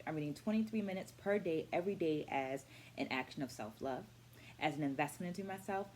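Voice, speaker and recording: A middle-aged woman speaking as an educator in a reflective vlog, with deliberate, articulate phrasing and emphatic stresses. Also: on the low side, a little mellow, slight background noise. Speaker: vlogger and dance educator. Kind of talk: reflective book-review vlog.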